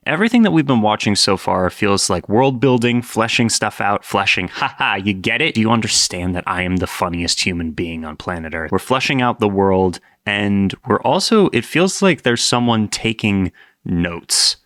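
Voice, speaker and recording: A man with a low-pitched voice gives casual reaction commentary. He is playful and joking, teasing his viewers in mock scolding. The sound is dry, with very little room in it. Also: animated, normal speed, a bit bright, dry recording. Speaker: host reacting to horror videos.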